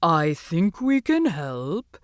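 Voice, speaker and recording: Woman voicing a slow, thoughtful sea dragon. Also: on the low side, very animated, no room sound, studio quality. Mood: calm, considering. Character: calm, helpful female red sea dragon. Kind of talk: children's storybook reading.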